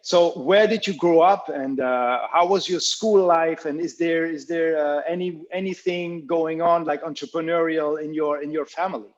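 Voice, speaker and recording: A man hosts an interview, his voice sitting a bit higher than most. He speaks haltingly, stacking "okay"s, and the sound comes through dull and lo-fi. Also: fairly even intonation. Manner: halting, repeats "okay" often. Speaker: podcast host. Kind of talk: casual interview talk.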